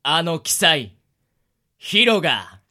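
A man's voice: fairly high pitch, very animated, takes it slowly, bright, forceful tone. A man co-hosting a casual radio group chat, bantering in a polite, agreeable way. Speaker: radio show co-host.

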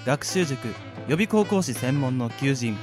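音楽に乗せた男性の提供読み. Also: male voice, mid-range voice, animated, a little slow, music in the background. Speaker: sponsor announcer. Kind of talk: scripted sponsor read.

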